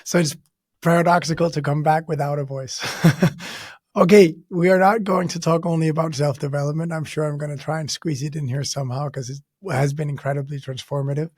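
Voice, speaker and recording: A man hosting a podcast in a conversational way, his voice hoarse and raspy as if broken. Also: fairly high pitch, steady tone, fairly quick, darkish tone, dry recording, clean studio sound. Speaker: podcast host.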